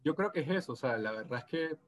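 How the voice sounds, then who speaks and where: Venezuelan gocho-accented man in his thirties, guest in a relaxed interview, hesitant delivery. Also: on the high side, quick pace. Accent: Venezuelan Andean (gocho) Spanish. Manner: hesitant, many restarts and fillers. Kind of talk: casual video interview.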